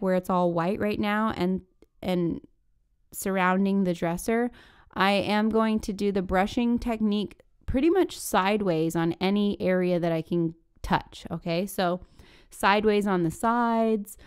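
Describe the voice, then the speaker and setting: A woman's voice: mid-range voice, clean tone. A woman giving a casual, chatty DIY tutorial in an informal, conversational, self-deprecating way. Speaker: DIY furniture-flip vlogger.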